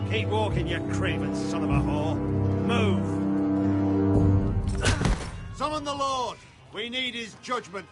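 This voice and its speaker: A man playing a harsh military officer in a fantasy video game, gruff and commanding. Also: high voice, animated, moderate pace, loud music behind the voice. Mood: angry, commanding. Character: stern, harsh male military officer serving a lord.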